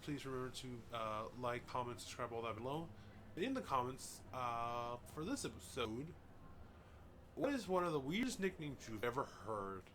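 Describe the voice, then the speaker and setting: A man's voice: mid-range voice, very animated, normal speed, slight background noise. A man hosting a game playthrough, reading on-screen dialogue aloud in a casual way, tripping over names and adding chatty asides. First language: English. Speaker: gaming channel host. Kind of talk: let's play, reading game text aloud. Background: loud music.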